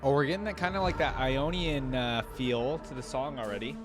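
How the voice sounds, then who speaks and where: A man's voice: mid-range voice, brisk. A man giving casual, informal reaction commentary as a video host, thinking aloud with plenty of filler words. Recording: music playing behind the voice.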